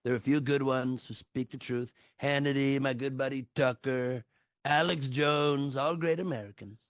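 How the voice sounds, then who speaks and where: A man's voice: mid-range voice, steady tone, moderate pace, no echo, close to an everyday voice. A man playing a boastful, blustering older male politician in a live table read of a satirical play, blunt and fond of superlatives. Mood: dismissive. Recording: dull lo-fi audio.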